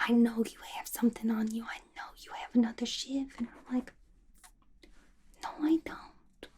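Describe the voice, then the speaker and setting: A woman's voice: on the high side, darkish tone, slightly rough. A woman playing a playful, unhinged, gossipy female villain inmate in a chatty, conspiratorial whispered ASMR roleplay.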